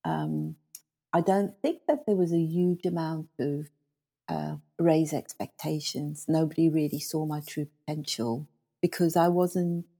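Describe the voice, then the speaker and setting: A middle-aged woman from South Africa whose first language is Afrikaans, speaking as an interview guest and former school leader in a thoughtful, measured way, often pausing on fillers. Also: low voice, lively intonation, mellow, slightly rough. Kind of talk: reflective interview storytelling.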